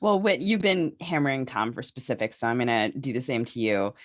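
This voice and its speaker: A woman's voice: extremely low pitch, brisk. A woman co-hosting a podcast, speaking casually with a wry manner. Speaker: podcast co-host.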